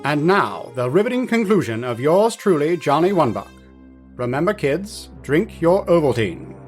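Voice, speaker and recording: An actor playing a portentous, showy announcer for a 1940s radio show. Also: male voice, a little high, very animated, normal speed, faint music behind. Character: dramatic old-time radio show announcer.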